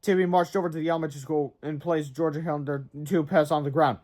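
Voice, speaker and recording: Slightly high-pitched man reading a storybook aloud. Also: steady tone, fast, crowd noise. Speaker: read-aloud narrator. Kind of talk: storybook narration with casual asides.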